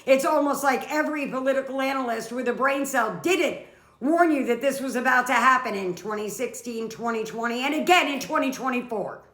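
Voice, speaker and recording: Comedy skit: female performer as sardonic, exasperated office coworker, withering and articulate, bright tone, echoey room. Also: high-pitched, fairly quick. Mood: deadpan, withering. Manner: dry, withering, articulate sarcasm.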